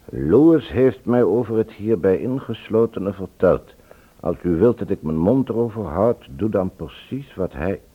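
An actor playing a polite but guarded man with a husky voice in a radio drama. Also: on the low side, animated, a little slow. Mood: guarded, puzzled. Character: polite, smooth-mannered, guarded man receiving a questioner. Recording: dull, lo-fi old radio recording.

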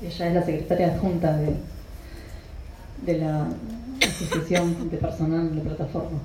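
A woman moderating a panel, briskly calling for questions from the audience. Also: low voice, little pitch movement, background noise. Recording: echoey room, distant mic.

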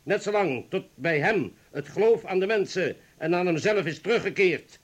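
A grave comrade in a radio drama. His voice is fairly high for a man, and he declaims deliberately, resolute and weighed down by guilt. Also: animated. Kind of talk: radio play acting.

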